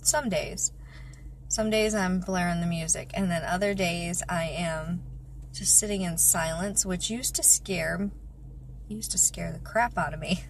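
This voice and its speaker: A woman's voice: on the low side, measured pace, gravelly, a little hiss or hum. A woman in her thirties, a mother, chatting casually about faith and family into a phone in her car, rambling with many fillers. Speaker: vlogger, mother of five. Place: parked car, indoors of vehicle. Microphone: phone camera at arm's length.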